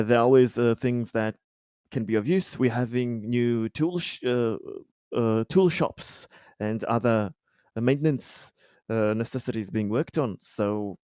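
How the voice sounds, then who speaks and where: A man playing a polite colony official in a tabletop game, speaking in a halting, formal and ingratiating way. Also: mid-range voice, a little mellow, clear, resonant tone, dry recording, clean studio sound, close to an everyday voice. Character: polite, diplomatic colony official, eager to please.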